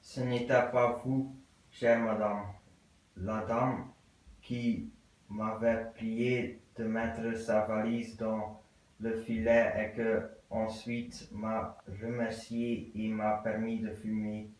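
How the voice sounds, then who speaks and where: A man playing a stiff English gentleman who speaks with ceremonious, formal courtesy and repeats himself at a slow pace. The voice fills a very reverberant room and is picked up from far away. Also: on the low side, little pitch movement. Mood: ceremoniously curious. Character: stiff polite English gentleman, middle-aged, curious. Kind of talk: theatre acting of an absurd play.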